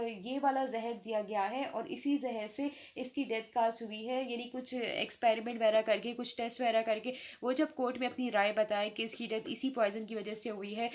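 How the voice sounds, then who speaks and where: A woman with a Pakistani accent and a slightly high voice teaches as a lecturer. Her delivery is informal and explanatory, moving between reading formal terms and putting them in plain words. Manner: explanatory, slows down to read out English legal terms. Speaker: law lecturer. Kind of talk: informal lecture explaining legal articles, mixing Urdu and English.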